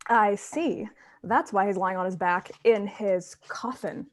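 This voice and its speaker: A woman's voice: medium pitch, a little slow, close to an everyday voice. A woman playing a nosy, sardonic female guest with a teasing manner in a script table read. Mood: curious, sardonic.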